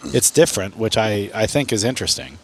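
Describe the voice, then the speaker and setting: A man's voice: lowish voice, brisk, a little hiss or hum. A man co-hosting a casual tasting podcast, talking in a blunt, joking way, a bit congested. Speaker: podcast co-host.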